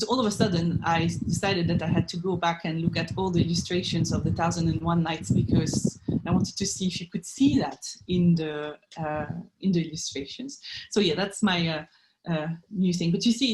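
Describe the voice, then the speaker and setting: A woman with a fairly low voice speaks as an academic being interviewed. She explains her subject in a thoughtful, conversational way, building each answer step by step. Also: darkish tone, a little hiss or hum, poor audio. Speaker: professor of art and literature history, interviewee.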